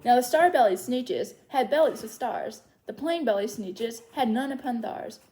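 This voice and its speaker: A woman's voice: on the high side, expressive, normal speed, dark tone, a little hiss or hum, poor audio. A woman hosting a children's storytime, reading a storybook aloud with asides to young listeners.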